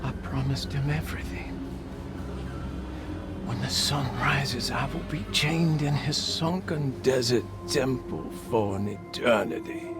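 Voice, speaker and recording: An actor playing a tormented, paranoid man bound by a dark pact, fearful and halting in a game cutscene. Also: medium pitch, over loud music, lightly acted. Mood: despairing. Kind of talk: video game cutscene acting.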